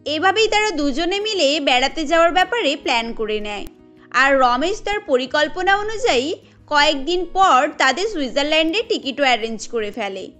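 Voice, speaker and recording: A woman's voice: sits high, expressive, moderate pace, a bit bright, faint music behind. A woman narrating a scripted Bengali cartoon story.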